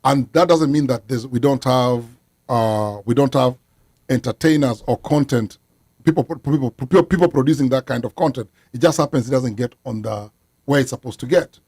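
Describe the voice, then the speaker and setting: A man's voice: mid-range voice, moderate pace, darkish tone, husky, dry recording. A man, a musician guest talking earnestly in a casual interview. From Kenya. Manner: earnest, explains at length with frequent fillers.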